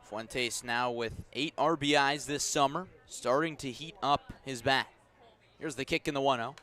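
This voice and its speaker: A man with a mid-pitched voice works as a radio baseball announcer. He describes the game in measured, unhurried phrases and lifts his delivery when the ball is in play. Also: bright, forceful tone. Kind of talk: live radio baseball commentary.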